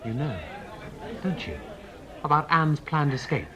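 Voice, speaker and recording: An actor playing an earnest, loyal gentleman in a radio drama, speaking in a polite, clipped, direct way. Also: a little high, lively intonation, unhurried, dull audio, a crowd in the background. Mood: pleading, reproachful.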